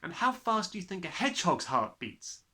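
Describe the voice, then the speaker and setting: A man's voice: sits high, big pitch swings, normal speed, bright, forceful tone, voice pushed up, heavily acted. A man voicing a precocious, earnest little girl in a storybook reading. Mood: eager, curious.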